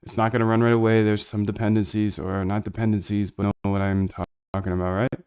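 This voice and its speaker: A man's voice: fairly low pitch, flat delivery, fast, a little mellow, clear, resonant tone. A man streaming as he works on his screen, rambling and slangy, talking to chat as he goes. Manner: rambling, slangy, talks to chat while working. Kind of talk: casual screen-share chat.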